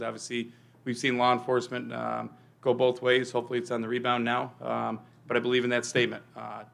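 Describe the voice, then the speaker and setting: A police chief briefing a local board, talking quickly in a gravelly voice. He speaks loudly so the room can hear him, with plenty of "uh" and "um". The pitch stays flat throughout. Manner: speaks loudly without a microphone, filler-heavy. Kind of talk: presentation to a village board. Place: meeting room.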